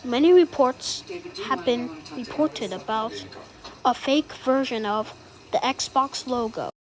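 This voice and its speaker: High-pitched woman reading an emergency alert. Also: takes it slowly, poor audio. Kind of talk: scripted emergency broadcast announcement.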